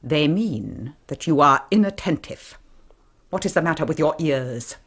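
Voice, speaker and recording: An English actress playing a strict, pompous Victorian governess in a radio drama, commanding and formal. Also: female voice, deep voice, lively intonation, measured pace, exaggerated voice. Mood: stern, scolding. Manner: commanding, crisply formal, theatrical scolding. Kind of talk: 1990s radio drama acting.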